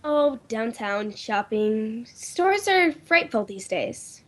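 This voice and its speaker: A woman's voice: a little high, animated, slow. A woman playing an anxious, insistent well-to-do lady in old time radio drama style, urgent and faltering in manner. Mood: guarded politeness.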